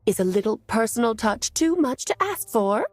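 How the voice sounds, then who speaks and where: Whimsical, genteel sorceress for anime game: breezy, teasing, animated melody in the voice. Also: female voice, on the high side, normal speed, no echo. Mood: playfully indignant. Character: elegant, whimsical, self-assured adult sorceress and mother.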